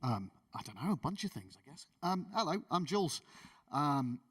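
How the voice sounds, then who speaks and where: A man's voice: fairly high pitch, big pitch swings, moderate pace, fairly bright tone, recorded from a distance. A man giving casual, self-deprecating, joking remarks as a late panellist at a conference. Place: conference hall.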